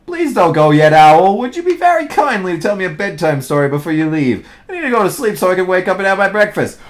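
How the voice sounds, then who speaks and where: Man playing an earnest, lively little storybook bear. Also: mid-range voice, fast talker, natural voice. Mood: earnest, polite. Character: naive, friendly little bear, storybook character.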